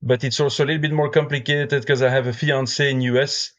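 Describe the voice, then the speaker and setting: A man's voice: mid-range voice, fairly even intonation, fast talker, fairly bright tone, highs cut off. A man in his forties from Belgium with a French accent, talking casually as a podcast guest, with many fillers and restarts. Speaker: guest, brewer and beer judge.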